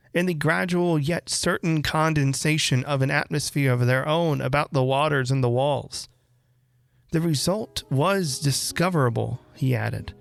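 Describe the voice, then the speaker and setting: A man reading a classic horror story aloud as literary narration, with measured, deliberate phrasing and dramatic pauses. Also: a little high, gravelly, no room sound. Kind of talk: literary audiobook-style narration.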